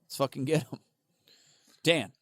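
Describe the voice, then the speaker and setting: A man co-hosting a podcast in casual banter, excitable and profane, with lots of stammered restarts. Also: medium pitch, measured pace, dry recording. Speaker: podcast co-host.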